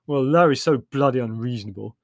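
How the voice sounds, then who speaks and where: Outdoorsy young brother for storybook, played by a man: gruff, blunt, insistent, ready to argue. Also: medium pitch, normal speed, a little mellow, no echo, clean studio sound, lightly acted. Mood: grumbling. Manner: insistent, argumentative. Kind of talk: audiobook character voice.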